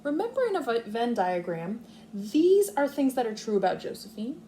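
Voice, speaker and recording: A woman's voice: on the high side, sing-song, moderate pace, mellow, a little hiss or hum. A woman speaking as a kindergarten teacher, explaining and thinking aloud step by step in a read-aloud lesson for young children.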